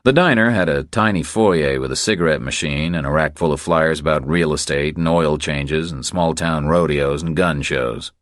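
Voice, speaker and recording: A man reading scripted audiobook narration in a measured storytelling manner. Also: rumbling low, dry recording, studio quality.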